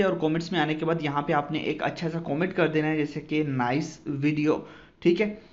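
Male tutorial host, fairly high voice, step-by-step instruction, slightly muffled lo-fi voice-over. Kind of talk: phone app tutorial voice-over.

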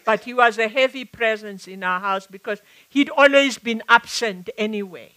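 An older woman with a South African accent, speaking reflectively at a live stage conversation, often pausing and restarting her sentences. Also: a little high, fairly bright tone. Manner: reflective, halting with many restarts. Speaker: author and activist. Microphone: headset mic.

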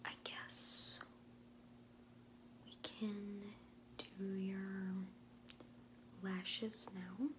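A woman's voice: medium pitch, flat delivery, noisy background. A woman in her twenties playing a sassy, condescending makeup artist in a whispered ASMR roleplay. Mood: condescending, dismissive. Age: 20s. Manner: sassy, condescending, hesitant valley-girl phrasing with drawn-out words. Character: sassy, condescending young female makeup artist, dismissive and defensive. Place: small indoor room at home, in front of a door.

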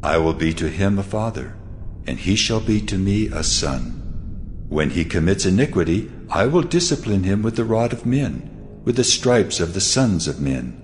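God in a scripture drama: low, commanding, weighty, long echoing tail. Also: male voice, sing-song, faint music behind. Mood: solemn, commanding. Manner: slow, weighty, declarative. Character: God of Israel, solemn, commanding, ancient divine voice. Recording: long reverb, noisy ambient bed.

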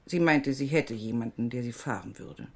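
An actress playing a weary, evasive middle-aged aid organisation manager in a German radio crime drama, husky and world-weary. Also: female voice, extremely low pitch, normal speed, a little hiss or hum. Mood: regretful.

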